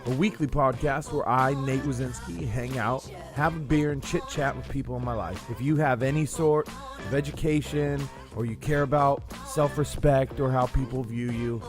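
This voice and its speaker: A male podcast host with a mid-pitched voice, delivering a mock-formal intro and crude, deadpan jokes. Music plays behind his casual banter.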